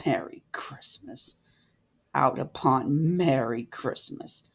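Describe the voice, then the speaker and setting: A woman voicing a miserly, bitter old man in a storybook reading, with gruff, scornful retorts. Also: sits low, measured pace, pitched below the performer's own voice, heavily acted. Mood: indignant.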